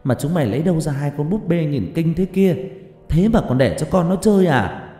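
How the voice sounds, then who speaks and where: A man's voice: expressive, fairly quick, dark tone, natural voice. A man voicing an angry, superstitious older woman who scolds her son and daughter-in-law. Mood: scolding. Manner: scolding, shrill outbursts. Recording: roomy hall reverb, background music.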